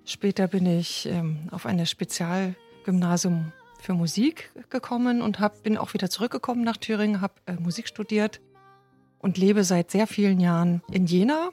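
A woman in her mid-fifties from Thuringia in Germany, thoughtfully recounting her personal story, with soft music underneath. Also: mid-range voice, fairly even intonation, normal speed. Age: 50s. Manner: reflective and thoughtful. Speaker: interviewee.

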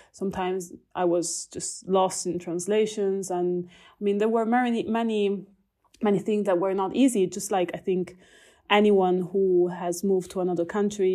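Italian-accented young woman, academic, thoughtful, halting delivery with restarts. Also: mid-range voice, darkish tone. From Italy. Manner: thoughtful, many fillers and restarts. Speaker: researcher. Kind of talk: reflective conversational talk.

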